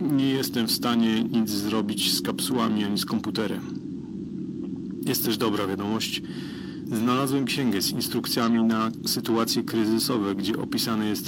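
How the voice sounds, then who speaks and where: A man's voice: fairly low pitch, heavy background noise, lightly acted. A man playing a worried spaceship crewman methodically and formally recording a solitary audio log in a radio drama. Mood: anxious, resolved. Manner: methodical, formal, dryly reporting.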